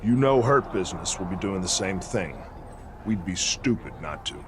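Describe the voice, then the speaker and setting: A man's voice: low-pitched, lively intonation, moderate pace, noisy background. A man playing a stern, commanding veteran wrestler who leads a faction, blunt and authoritative, in a video game cutscene. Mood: firm. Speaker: wrestler, leader of a stable.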